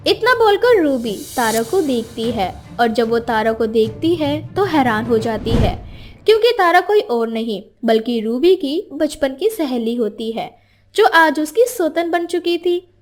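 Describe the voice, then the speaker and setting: A woman's voice: high-pitched, expressive, unhurried, faint music behind. A woman narrator reading a scripted story in a dramatic storytelling style.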